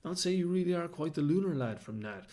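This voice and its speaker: A man's voice: a little high, expressive, quick pace, warm tone, voice pushed up, close to an everyday voice. A man voicing a sensible male cat who answers in dry, exasperated rhymes. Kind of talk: storybook character voice.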